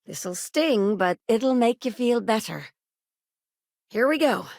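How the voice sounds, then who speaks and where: An actress voicing a weary, sardonic clinic doctor in a video game, with a British or Irish accent and brisk, colloquial bedside patter. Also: female voice, fairly high pitch, lively intonation, darkish tone, dry recording, studio quality. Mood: brisk, reassuring. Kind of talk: scripted game dialogue.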